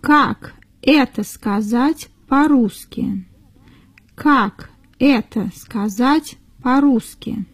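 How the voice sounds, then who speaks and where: Slow, careful woman reading model sentences. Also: a little high, slightly rough, dry recording. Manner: careful, deliberate, repeats each phrase. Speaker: native-speaker model reader.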